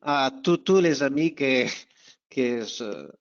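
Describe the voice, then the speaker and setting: A man with an Italian accent, a guest doctor answering informally and haltingly, searching for words as a non-native speaker. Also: mid-range voice, animated, vocal fry. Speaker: doctor, guest webinar presenter. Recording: dull, band-limited webinar audio.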